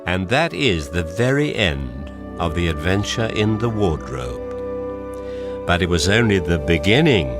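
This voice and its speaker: A man narrating a children's story in an expressive storytelling style. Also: sits low, a little slow, over music.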